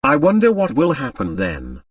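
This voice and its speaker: A man voicing a shrewd, mercenary Russian woman in an audiobook, composed and measured, showing little emotion. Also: medium pitch, wildly swooping pitch, husky, dry recording, recorded from a distance. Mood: thoughtful. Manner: measured, composed, matter-of-fact.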